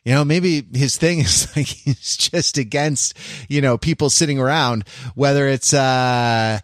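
A man in a casual analytical podcast discussion, talking in a halting, rambling way as he thinks aloud. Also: medium pitch, no room sound, studio quality. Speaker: podcast panelist.

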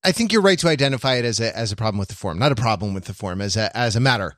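A man's voice: on the low side, lively intonation, fairly bright tone, no echo. Man thinking aloud, dry close sound. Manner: halting, repeats phrases while thinking. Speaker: podcast host. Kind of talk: casual analytical discussion.

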